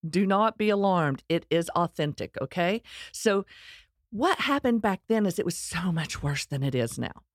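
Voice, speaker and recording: Texan woman, middle-aged, candid storyteller, lower voice, dry close sound. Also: expressive, normal speed, dry recording. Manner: candid, earnest, self-deprecating humor. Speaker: speaker sharing her life story. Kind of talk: personal storytelling talk.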